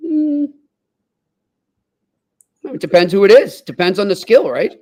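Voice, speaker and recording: A man hosts a sports podcast. His voice is a little higher than average for a man. He chats casually as he moves the show along from question to question. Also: big pitch swings, a little slow, mellow. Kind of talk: casual chat, reading fan questions.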